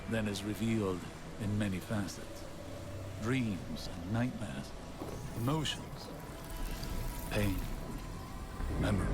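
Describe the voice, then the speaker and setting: An actor playing an earnest, scholarly sage in a video game, speaking in a measured, grave, philosophical way. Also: male voice, fairly low pitch. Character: earnest, scholarly male sage pondering cosmic mysteries. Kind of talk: dramatic video game monologue.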